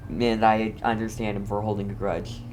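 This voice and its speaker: A man's voice: on the low side, little pitch movement, normal speed, darkish tone, slight background noise. A man giving casual playthrough commentary as a gamer, reacting and musing aloud.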